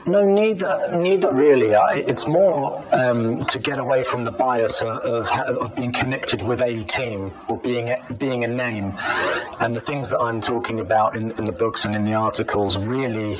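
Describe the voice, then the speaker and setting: A British man answering interview questions in a measured, halting way, repeating words, his voice pitch-altered to disguise it. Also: a loud crowd. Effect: pitch-shifted disguised voice. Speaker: anonymous professional footballer being interviewed.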